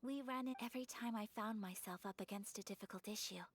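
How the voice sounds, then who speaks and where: A woman playing a gentle young noblewoman in a game, speaking softly and formally with polite, earnest reserve. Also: medium pitch, fast, close to an everyday voice. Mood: wistful. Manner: soft, formal, hesitant, breathy at times. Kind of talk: animated game dialogue.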